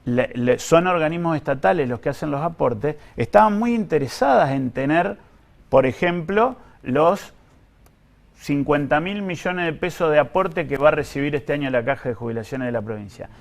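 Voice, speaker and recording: Argentine guy, gravelly voice, explaining stuff in an interview, halting and repeats words. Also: fairly high pitch, lively intonation. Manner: hesitant, repeats himself while explaining. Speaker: guest, pension fund director.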